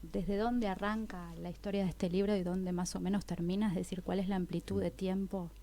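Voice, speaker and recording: A radio host, her voice mid-pitched and mellow rather than bright. She puts short, conversational questions to her guest. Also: little pitch movement, brisk. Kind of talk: radio interview.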